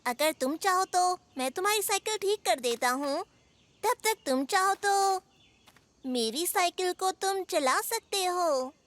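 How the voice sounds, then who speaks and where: Very high-pitched eager bear cub. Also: female voice, lively intonation, measured pace, fairly bright tone, a little hiss or hum, exaggerated voice. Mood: eager, generous. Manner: eager, generous, playful. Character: helpful, mischievous young male brown bear cub. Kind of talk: Hindi children's cartoon dub.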